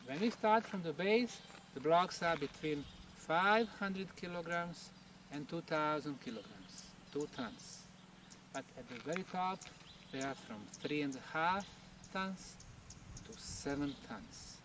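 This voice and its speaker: A man's voice: a little high, lively intonation. Man presenting outdoors, distant and noisy. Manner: emphatic, broken into short deliberate phrases. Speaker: researcher presenting a site. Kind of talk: on-site explanatory presentation. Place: outdoors at a temple site.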